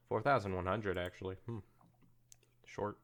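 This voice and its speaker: A man with a lowish voice full of gravelly fry co-hosts a podcast. He talks casually and informally, breaking in with commentary and offhand asides. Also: very animated, measured pace. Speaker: podcast co-host.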